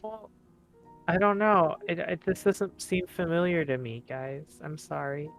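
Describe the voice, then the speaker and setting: A man's voice: fairly high pitch, fairly even intonation, a little slow, gravelly, fairly bright tone, faint music behind. A man playing a tabletop game, chatting casually in and out of character, hesitant and repeating words. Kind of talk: casual roleplay chat.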